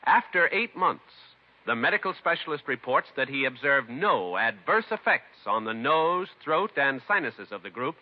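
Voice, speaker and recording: A man's voice: medium pitch, sing-song, moderate pace. A man announcing in a formal, scripted 1950s radio style with careful phrasing. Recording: muffled vintage radio recording.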